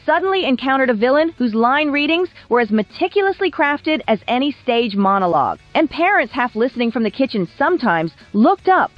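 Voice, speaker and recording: High-pitched, animated woman narrator. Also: a little fast, hardly any pauses, highs cut off, soft background music. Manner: wry, polished storytelling. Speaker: video essay narrator. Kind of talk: scripted documentary narration.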